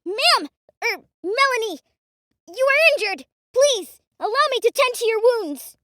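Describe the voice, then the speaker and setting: Very high-pitched, earnest little boy. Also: very animated, unhurried, bright, forceful tone, no echo, studio quality, full character voice. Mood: alarmed, devoted. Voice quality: small, high childlike voice. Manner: formal, eager, a little flustered. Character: small earnest boy protector, formal and eager.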